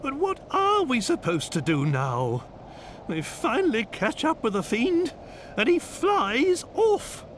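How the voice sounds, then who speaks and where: An actor playing a wry, theatrical gentleman adventurer in a video game, wordy and droll. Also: extremely high pitch, huge pitch swings, slow pace, slight background noise, heavily acted. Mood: exasperated. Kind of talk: video game cutscene acting.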